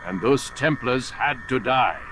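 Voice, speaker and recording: An actor playing a calculating older master assassin in a video game, philosophical and condescending in a theatrical, oratorical way. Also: male voice, mid-range voice, lively intonation, measured pace, noisy background, natural voice. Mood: calm menace. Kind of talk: dramatic video game cutscene acting.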